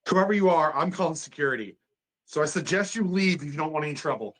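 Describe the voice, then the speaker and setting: A man playing a stern, rattled hospital doctor with a husky voice in a horror script table read. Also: medium pitch, steady tone, measured pace, pushed and bright, rough recording. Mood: threatening.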